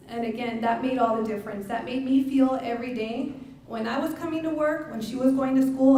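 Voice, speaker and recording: A woman's voice: on the high side, warm tone. Echoey, middle-aged woman giving a speech. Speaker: parent and educator giving a testimonial speech. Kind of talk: public speech at a press conference. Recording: very echoey room, distant mic, faint noise.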